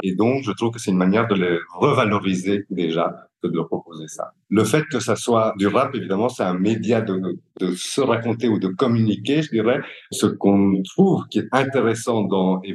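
Low-voiced Belgian French man, reflective. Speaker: youth psychiatric care worker, interviewee. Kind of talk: reflective spoken interview.

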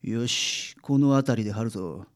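A woman's voice: very deep voice, moderate pace. A woman playing a blunt, laid-back male private detective with a Kansai accent in a radio drama. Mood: blunt, offhand. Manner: blunt, offhand.

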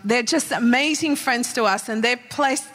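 A woman in her forties, raised in Sri Lanka, with an Australian accent carrying Sri Lankan traces. She speaks warmly to a church and leads a fervent prayer, repeating "Lord God". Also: on the high side, moderate pace, faint music behind. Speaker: pastor's wife, guest speaker. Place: church hall. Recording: echoey church hall.

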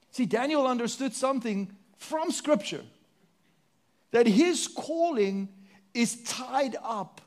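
A man with a South African accent delivers a sermon from the pulpit. His voice sits high for a man. He throws rhetorical questions at the congregation and moves between emphatic lines and quieter, hushed ones. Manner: preaching with sweeping rises and drops, rhetorical questions. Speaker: pastor.

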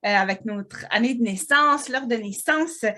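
A chatty woman with a Québécois accent, co-hosting a relaxed podcast. Her voice sits a bit high, and she giggles often and fills her talk with little fillers. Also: measured pace. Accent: Québécois French. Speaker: podcast co-host. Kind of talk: casual chat.

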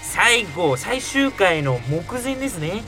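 A woman's voice: medium pitch, sing-song, takes it slowly. A woman talking casually in a group that is playing a game. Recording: faint music behind.

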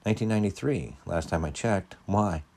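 A puzzled, unsure companion in a storybook reading, played by a man a little above his own husky low voice. He talks plainly, with a questioning lilt. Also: fairly quick, darkish tone. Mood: casual.

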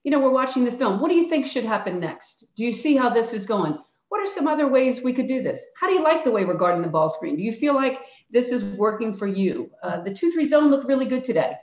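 A woman's voice: a little high, sing-song, fast talker, hardly any pauses, darkish tone. Older Philly woman, frank retired coach; roomy, muffled sound. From Philadelphia. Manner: frank, plainspoken, acts out quotes of herself and players. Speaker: retired basketball coach, guest. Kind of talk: reflective interview answers. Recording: echoey, dull-sounding room.

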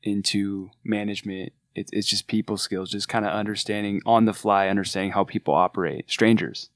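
A man's voice: fairly low pitch, steady tone, brisk, a bit bright, no room sound, studio quality. A man from California with a laid-back surfer accent, chatting earnestly on a podcast as an artist manager. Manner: earnest, enthusiastic, with frequent restarts. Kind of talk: casual podcast chat.

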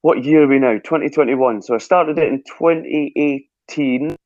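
Scottish man, lively storyteller, dry close sound, slightly dull remote recording. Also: a little high, normal speed, dry recording. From Scotland. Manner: lively storyteller who acts out his own past thoughts. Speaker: guest. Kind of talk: casual interview chat.

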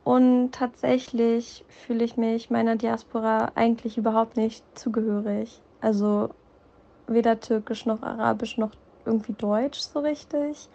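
A woman's voice: on the high side, little pitch movement, measured pace, creaky, mellow, lo-fi sound. A woman from Berlin, speaking reflectively about her family background and belonging, with frequent hesitations. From Berlin, Germany. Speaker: interviewee. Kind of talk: personal testimony.

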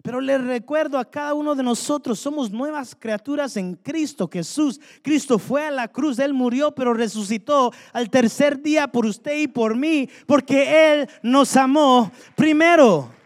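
A man's voice: very high-pitched, a little slow, hardly any pauses. A man preaching a sermon, fervently asking the congregation rhetorical questions. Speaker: pastor. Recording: long hall reverb.

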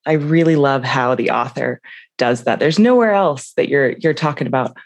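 A woman's voice: a bass voice, normal speed, no room sound. A woman co-hosting a book podcast, chatting casually and enthusiastically about a short story. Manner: enthusiastic, reads quotes aloud. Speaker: podcast co-host. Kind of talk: casual literary discussion.

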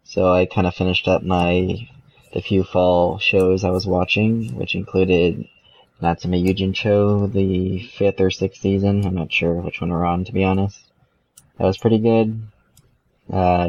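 A man's voice: low voice, little pitch movement, unhurried, a little mellow, dry recording. A male podcast co-host chatting casually, hesitant and thinking aloud as he goes. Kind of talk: casual chat. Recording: dull, lo-fi microphone sound.

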